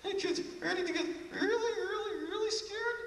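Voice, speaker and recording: A man's voice: extremely high pitch, normal speed, pitched above the performer's own voice. A man voicing a small, timid male monster puppet who wants to be scary and talks in an eager, pleading way. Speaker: ventriloquist's puppet. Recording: echoey hall, crowd and noise.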